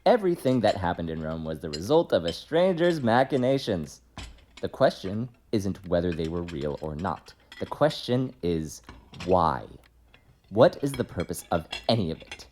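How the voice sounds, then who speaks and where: Deep-voiced, reasoning period gentleman. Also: big pitch swings, a little hiss or hum. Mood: probing. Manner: measured, reasoning, articulate. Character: rational, sceptical gentleman of 1840s Paris, probing and composed. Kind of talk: period audio drama acting.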